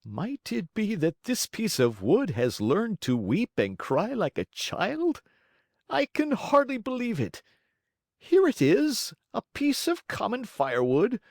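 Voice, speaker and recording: An excitable old fairy-tale carpenter performed by a man, talking to himself with theatrical emphasis. His pitch swoops widely and his words come deliberately, in a close, dry sound. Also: no room sound, exaggerated voice. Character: excitable old male carpenter, funny, bluffing, easily frightened.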